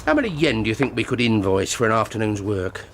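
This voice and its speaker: An actor playing a pompous, sarcastic, mercenary British ex-police officer with a theatrical manner in a radio drama. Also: male voice, fairly low pitch, very animated, fast, fairly bright tone, a little hiss or hum.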